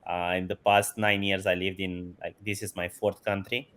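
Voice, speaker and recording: A man's voice: deep voice, level tone, moderate pace, fairly bright tone. A man, enthusiastically answering interview questions and chuckling often. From Romania. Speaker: guest, company CEO.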